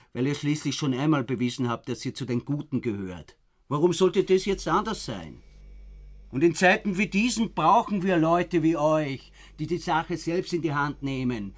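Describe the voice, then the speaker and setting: A man with an Austrian accent playing a proud, self-important, eccentric survivalist in a radio play. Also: a little high. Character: proud, eccentric male doomsday prepper, self-reliant and suspicious.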